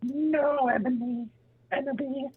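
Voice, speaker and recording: A woman voicing an earnest, aggrieved half-giant man with squeaky, drawn-out delivery in an amateur dramatic reading. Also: fairly even intonation, breathy edge, narrow, tinny audio, full character voice. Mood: earnest, pleading.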